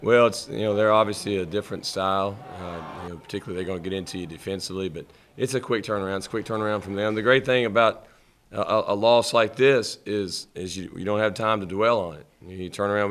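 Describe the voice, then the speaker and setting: Low-voiced man coaching at a press conference. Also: fairly bright tone. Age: middle-aged. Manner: measured, halting, repeats words while thinking. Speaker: head basketball coach. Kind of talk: postgame press conference answers. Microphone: table mic.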